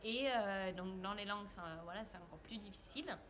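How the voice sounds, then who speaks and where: A woman's voice: medium pitch, fairly quick, fairly bright tone, slight background noise. A French woman being interviewed about her work as a private French tutor, speaking earnestly with frequent hesitations and filler sounds. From France. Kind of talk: casual interview answers.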